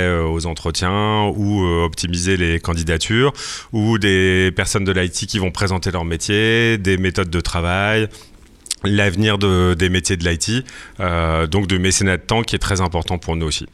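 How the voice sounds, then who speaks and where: Man speaking for an association, deep voice, explains with many fillers. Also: steady tone, normal speed, a bit bright, smooth. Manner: explanatory, with frequent euh fillers. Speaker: association representative. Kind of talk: interview answers.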